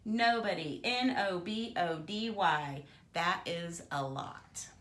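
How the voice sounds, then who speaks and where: A woman's voice: on the low side, slow pace, a bit bright, echoey room. A woman voicing an aggrieved male toothbrush in a storybook, scolding with dramatic, emphatic indignation. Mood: exasperated.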